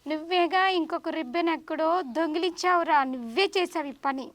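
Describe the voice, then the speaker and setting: A woman's voice: very high-pitched, a little fast. A woman voicing an exasperated older sister puppet, teasing and scolding in a children's puppet show.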